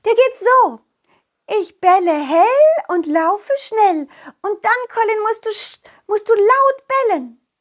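Woman playing kind magical fairy-tale friend, very high voice, swooping melody, drawn-out gushing delivery, children's audio play. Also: expressive, full character voice. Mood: patient, instructive.

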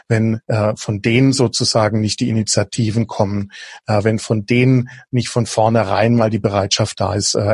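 A man's voice: on the low side, a little mellow, breathy edge, no room sound, studio quality. A man from southwest Germany, a guest on a podcast interview, talking in a hesitant way with many fillers and restarts. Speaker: guest, innovation coach.

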